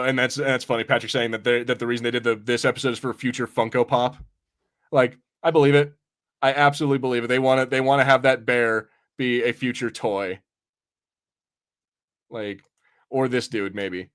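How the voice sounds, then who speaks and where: A man's voice: mid-range voice, steady tone, moderate pace, pauses often, fairly bright tone. A man hosting a podcast, chatting casually in a rambling, informal, sometimes sweary way about what he has watched. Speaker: podcast host. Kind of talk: casual review chat.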